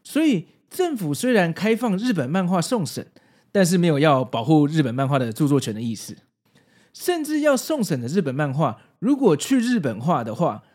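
Podcast host: man, Taiwanese Mandarin accent, slightly high voice, highly expressive intonation, scripted narration. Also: normal speed.

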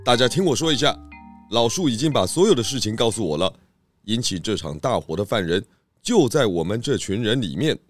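An actor voicing a calm, confident detective pig who explains the case in a children's radio drama. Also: male voice, fairly low pitch, fairly quick. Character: calm clever pig detective, male adult. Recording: music bed under voice.

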